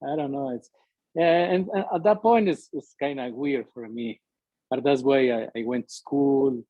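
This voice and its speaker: A middle-aged man from Ecuador with an Ecuadorian Spanish accent, a native Spanish speaker talking as a guest in halting English, searching for words with many fillers. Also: medium pitch, no echo, narrow, tinny audio. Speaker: interview guest, musician. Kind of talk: conversational interview, halting English.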